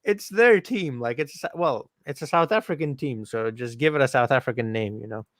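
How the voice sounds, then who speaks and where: A man's voice: medium pitch, fairly quick, fairly bright tone, dry recording, studio quality. A man co-hosting a cricket watchalong stream, chatting casually, rambling and correcting himself, laughing often. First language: not Urdu. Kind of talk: casual chat over a live stream.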